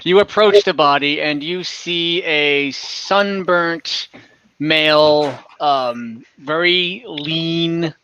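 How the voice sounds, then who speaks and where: A man working as game master, narrating an online tabletop game in a casual, halting, conversational way. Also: on the high side, pushed and bright, lo-fi sound, slight background noise. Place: indoors, online call.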